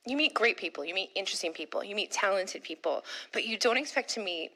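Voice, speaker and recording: A woman's voice: mid-range voice, animated, fast talker, pushed and bright. A woman hosting a convention panel, talking enthusiastically into a handheld microphone. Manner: enthusiastic, gushing praise. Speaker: panel host.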